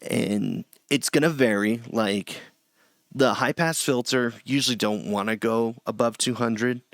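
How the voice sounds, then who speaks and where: A middle-aged man with a low voice gives a loose, chatty screen-share tutorial from a small home room, often restarting his sentences. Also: unhurried, fairly bright tone, dry recording, clean studio sound. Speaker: streamer teaching audio setup.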